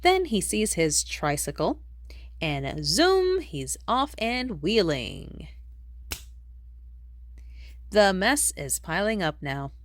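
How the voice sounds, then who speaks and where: A woman reading a rhyming children's picture book aloud in an expressive storytelling manner. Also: mid-range voice, takes it slowly, pauses often, no room sound, clean studio sound. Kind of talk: read-aloud storybook narration in rhyme.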